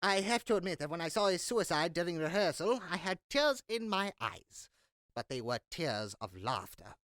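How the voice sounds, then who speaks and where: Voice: medium pitch, big pitch swings, normal speed, fairly bright tone, no room sound, studio quality. A performer playing a fussy, scornful master of revels to a duke in a Shakespeare audio drama, with a theatrical, disdainful manner. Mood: scornful, amused.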